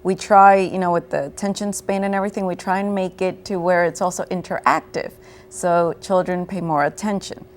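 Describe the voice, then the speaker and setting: Lowish-voiced woman explaining a program. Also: steady tone, brisk, a little hiss or hum. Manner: clear, explanatory, gives examples. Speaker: program coordinator guest. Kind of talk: explaining in an interview. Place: local TV studio.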